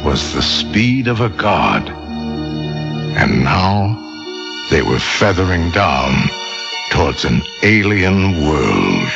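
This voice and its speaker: A man narrating a scripted radio drama in a grave, measured way. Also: low-pitched, sing-song, vocal fry, heavily acted. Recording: dull band-limited radio recording. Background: loud music.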